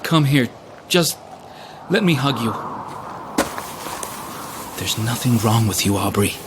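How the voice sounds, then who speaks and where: An actor playing an earnest, protective, devout husband in an audio drama, urgent and pleading in an intimate way. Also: male voice, mid-range voice, lively intonation, slow, background noise. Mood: pleading, reassuring. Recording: clear ambient sound behind voice.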